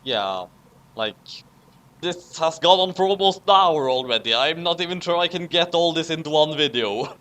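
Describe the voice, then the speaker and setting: Higher-voiced man, dry casual chat. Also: lively intonation, moderate pace, bright, forceful tone. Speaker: co-host and video editor.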